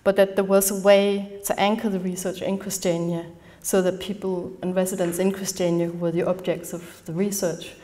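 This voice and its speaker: A woman from Denmark with a Danish accent, giving an academic conference talk in a measured manner, partly reading from a prepared text. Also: fairly low pitch, little pitch movement, dark tone. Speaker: conference speaker. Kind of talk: academic lecture. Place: large darkened lecture hall.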